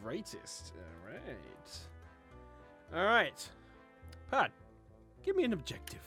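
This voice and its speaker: An excitable man hosting a party word game in casual banter with friends. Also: fairly high pitch, slow pace, soft background music. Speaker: host.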